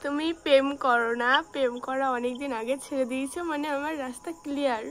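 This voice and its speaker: A woman's voice: sits high, steady tone, fast talker, fairly bright tone, slight background noise. A woman chatting casually and flirtatiously on a call, coy and teasing. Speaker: prank caller. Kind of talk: casual flirtatious phone chat.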